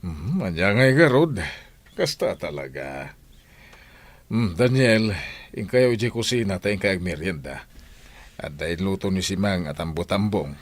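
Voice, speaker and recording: Deep, folksy older father. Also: animated, measured pace, long pauses. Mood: matter-of-fact, hospitable. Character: older village father, inquisitive, folksy, hospitable. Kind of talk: radio drama acting.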